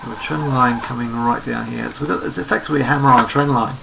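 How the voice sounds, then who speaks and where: A man with a gravelly, croaky voice walks through trading charts. He is losing his voice and sounds hoarse. He speaks at a relaxed pace, thinking aloud and repeating himself as he goes. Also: medium pitch, quick pace, background noise. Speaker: trading analyst. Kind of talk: screen-recorded market chart commentary.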